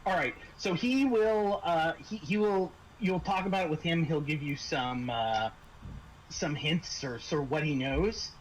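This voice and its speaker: A man narrating a tabletop roleplaying game as game master, halting and pausing mid-phrase. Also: on the high side, poor audio. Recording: noticeable background noise.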